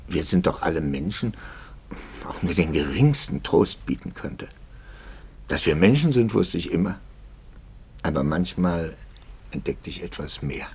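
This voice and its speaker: Anguished priest, husky low voice, 1950s radio drama. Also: male voice, heavily acted. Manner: brooding, swings from hushed whispers to impassioned outbursts. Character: troubled male priest, middle-aged, anguished.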